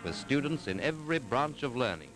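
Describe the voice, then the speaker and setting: Man narrating a 1940s documentary, lively intonation. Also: mid-range voice, low-quality recording. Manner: measured, formal, articulate. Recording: 1940s film soundtrack with music.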